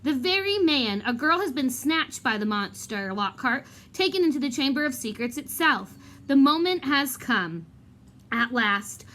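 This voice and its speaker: A woman's voice: high-pitched, expressive, unhurried, fairly bright tone. Woman reading as a sneering, menacing male professor. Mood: goading. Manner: goading, pointed.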